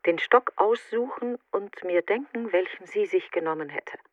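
A woman's voice: mid-range voice, normal speed, no room sound. An actress playing a grieving, self-doubting woman in a literary radio monologue, in short introspective fragments through a narrow filter. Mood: reflective, resigned. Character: grieving woman afraid of not being enough. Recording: thin, phone-like narrow band, very dry.

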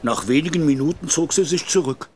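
A man's voice: on the high side, brisk, a little mellow, slight background noise, natural voice. An actor playing an observant, slightly nosy man at a country inn, narrating what he notices in the first person. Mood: observant, dry.

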